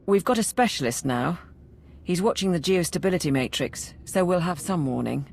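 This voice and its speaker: An actor playing a frank, dutiful military operations aide in a video game, clipped and businesslike, with a creaky voice. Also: male voice, on the high side, lively intonation, moderate pace, slight background noise.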